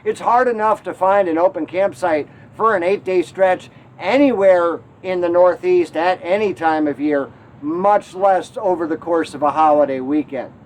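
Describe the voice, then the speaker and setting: High-voiced man hosting a travel show. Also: moderate pace, slight background noise, low-quality recording. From Connecticut. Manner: wry, mildly aggrieved storytelling.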